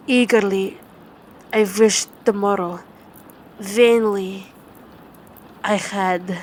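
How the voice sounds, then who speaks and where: Slow, soothing vampire girlfriend, played by a woman. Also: mid-range voice, lightly acted. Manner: slow, halting, soothing delivery with repeated words. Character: dominant female vampire girlfriend, tender, reading gothic poetry at bedtime. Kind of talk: ASMR roleplay and bedtime poetry reading. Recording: clearly noisy ambient background.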